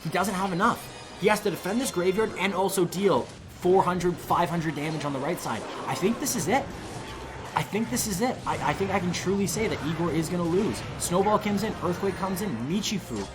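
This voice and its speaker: A man commentating live on an esports match, losing his voice, talking in a rambling, excitable way that climbs to loud peaks on big plays. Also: sits high, fairly quick, over music. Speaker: esports caster.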